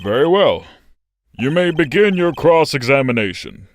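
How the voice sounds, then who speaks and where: A man playing a ponderous, easily puzzled judge, formal and deliberate, in a courtroom game fandub. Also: a little high, sing-song, a little hiss or hum. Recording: music behind the voice.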